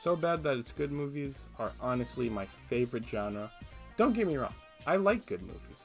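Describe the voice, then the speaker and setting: A man's voice: mid-range voice, sing-song, normal speed, vocal fry. A man giving casual, opinionated scripted commentary as a video essayist, with a sarcastic streak. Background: background music.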